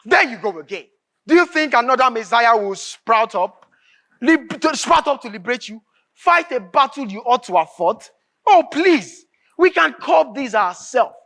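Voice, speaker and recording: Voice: mid-range voice, expressive, normal speed, fairly bright tone. A stage actor playing a fervent, impatient revolutionary with a Nigerian accent, speaking in emphatic, oratorical dialogue and repeating phrases for force. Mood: impassioned. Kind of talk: live stage drama acting, declamatory dialogue.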